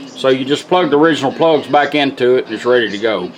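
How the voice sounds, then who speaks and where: A man's voice: mid-range voice, fast, rough recording. A man giving a casual product demonstration in an informal, folksy way. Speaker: radio restorer demonstrating a product. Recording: radio music playing in the background. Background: music from the demonstrated car radio.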